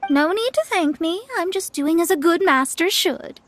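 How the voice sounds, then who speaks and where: A woman's voice: extremely high pitch, lively intonation, normal speed, fairly bright tone, soft background music. A woman voicing a proud, formal young female astrologer who acts as a generous master, in a video game. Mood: haughty. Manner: haughty, formal and self-important.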